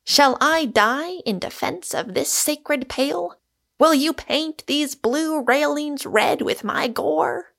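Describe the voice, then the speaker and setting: A woman voicing a whimsical, flamboyant idle gentleman with a haughty, mock-heroic and theatrical manner in an audiobook. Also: on the high side, expressive, measured pace, vocal fry, voice pushed up, exaggerated voice. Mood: gleefully defiant. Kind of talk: audiobook character voice.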